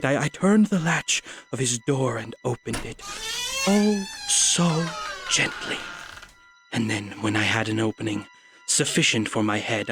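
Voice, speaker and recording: A man playing a nervous, mad murderer who insists he is sane, narrating a gothic horror audio drama with intense, halting theatrical delivery. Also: on the high side, a bit bright, slightly rough, exaggerated voice.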